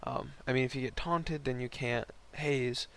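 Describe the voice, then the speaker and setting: A young man with a hoarse, worn voice giving casual, rambling, slangy commentary on an online game battle. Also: medium pitch, normal speed, fairly bright tone. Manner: rambling, slangy, laid-back. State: hoarse, voice partly lost from concert the night before.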